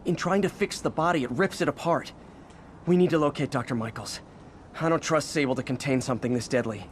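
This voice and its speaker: A man's voice: medium pitch, fairly quick, a little hiss or hum, natural voice. An actor playing an earnest young superhero in his twenties, talking in a boyish, conversational way with anxious wisecracks in a video game. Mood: concerned. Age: 20s.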